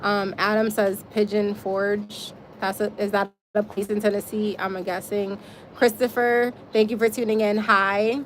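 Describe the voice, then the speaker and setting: A woman's voice: mid-range voice, flat delivery, a little slow, slight background noise. Chatty woman live-streaming outdoors. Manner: chatty, talks straight to viewers, frequent little laughs. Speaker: livestream host. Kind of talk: casual live-stream chat to viewers.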